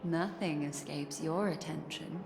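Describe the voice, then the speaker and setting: A woman's voice: low-pitched, a little slow, vocal fry, background noise. An actress voicing a languid, mysterious, teasing woman in a video game.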